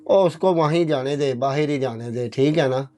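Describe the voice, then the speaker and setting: A man's voice: mid-range voice, moderate pace, mellow, faint music behind, close to an everyday voice. A man playing a poor, trusting old village father, pleading and affectionate in a dramatised moral story. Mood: stubborn, coaxing. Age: older. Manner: pleading, repeatedly addresses listener affectionately.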